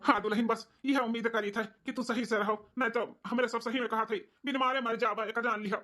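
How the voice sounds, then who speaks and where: A woman's voice: fairly high pitch, fairly even intonation, a little fast, pushed and bright. A woman voicing a worried, pleading old father-in-law in an Awadhi cartoon, with an eastern Uttar Pradesh accent. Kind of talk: comedic cartoon family drama dialogue.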